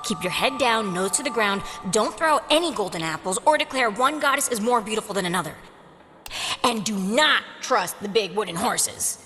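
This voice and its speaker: A woman's voice: mid-range voice, animated, moderate pace, fairly bright tone, slight background noise, close to an everyday voice. An actress playing a sassy, flirtatious gorgon woman in a video game, with a teasing, sarcastic, brash delivery. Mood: bossy, playful. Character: sassy, flirtatious snake-haired gorgon woman without a body. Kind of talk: video game character acting. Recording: long reverb, faint music underneath.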